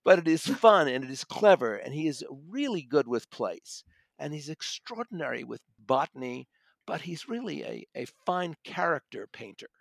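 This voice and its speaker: A man's voice: a little high, sing-song, moderate pace, vocal fry, dry recording. Enthusiastic male book critic, dry sound. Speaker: audiobook critic and podcast guest. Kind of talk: conversational book review.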